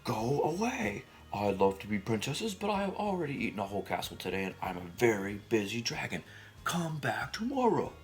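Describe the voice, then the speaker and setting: A man voicing a big boastful dragon from a storybook, smug and easily flattered, drawing out his words. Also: mid-range voice, normal speed, faint music behind. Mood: gruff, dismissive.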